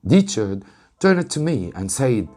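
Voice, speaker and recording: A man from Egypt, an English teacher, reading a story aloud with deliberate, dramatic emphasis. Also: mid-range voice, very animated, a little mellow, soft background music.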